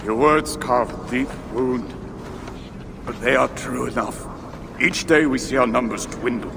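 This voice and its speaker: An actor playing a boisterous, grandiose drunken dwarf poet in a video game, theatrical and declamatory. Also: male voice, mid-range voice, unhurried. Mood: somber, resigned. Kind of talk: video game cutscene acting. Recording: long hall reverb, clear background noise.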